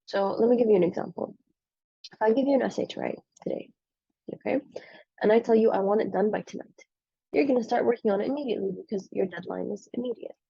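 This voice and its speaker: A woman's voice: medium pitch, quick pace, vocal fry, dark tone, slightly rough, no room sound, highs cut off. A woman in her twenties, a medical student recovering from the flu, giving a casual, frank and self-deprecating spoken presentation on a subject she studied. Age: 20s.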